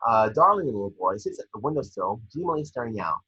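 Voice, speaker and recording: Male table-read narrator, bright but very husky, scripted stage directions, slightly muffled home recording. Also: medium pitch, sing-song, quick pace. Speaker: scene description reader.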